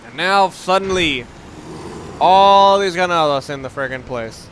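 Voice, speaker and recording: A young man with a somewhat high voice hosts a gameplay video. He talks casually and rambles, breaking off his own thoughts, with light noise and game sound behind him. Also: expressive, unhurried, rough recording. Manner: rambling, self-interrupting, conversational. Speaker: let's play host. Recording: faint background noise, game sounds underneath.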